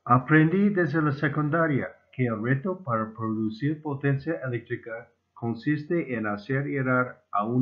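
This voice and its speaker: A man who speaks as a second-language speaker, reading a formal scripted lecture in a deliberate, slightly stumbling way. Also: medium pitch. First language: not Spanish (Spanish is his second language). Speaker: amateur inventor presenting his own proposal. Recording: muffled, phone-like narrow band.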